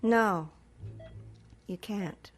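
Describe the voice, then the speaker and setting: A woman's voice: medium pitch, very slow, soft background music. An actress playing a chatty, self-satisfied female retirement home caregiver who flusters easily, in an audio drama. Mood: uneasy, flustered. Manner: chatty, rambling, hesitant when flustered.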